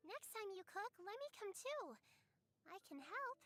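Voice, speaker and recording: A woman's voice: extremely high pitch, very animated, a little slow, bright, forceful tone, soft background music, heavily acted. A woman voicing a cheerful, caring little girl adventurer in a video game, with a sweet childlike voice and earnest, chirpy delivery. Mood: caring, concerned. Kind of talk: video game character voice lines.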